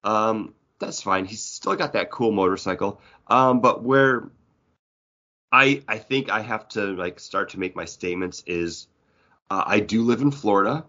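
Low-pitched man, jokey podcast co-host. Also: measured pace, sharp tone, dull audio. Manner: jokey mock outrage and exaggeration. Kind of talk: casual banter.

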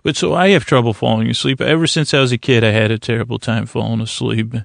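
A man with a creaky voice hosting a bedtime podcast in a rambling, halting, stuttering, self-deprecating monologue. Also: mid-range voice, fairly even intonation, no echo, clean studio sound. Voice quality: creaky, gravelly. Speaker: podcast host.

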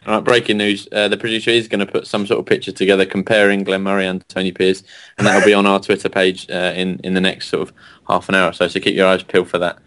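A man's voice: low-pitched, little pitch movement, fast, fairly bright tone. A man hosting a casual football fan radio show, chatty and laughing often. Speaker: host.

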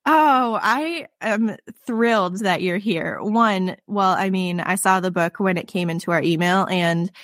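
A woman hosting a book podcast, bubbly and effusive, with lots of "like" and quick restarts. Her pitch sits in the middle range. The sound is close and dry with no room. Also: fairly bright tone, no echo. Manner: gushing and enthusiastic, heavy "like" fillers. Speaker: podcast host. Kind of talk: podcast interview.

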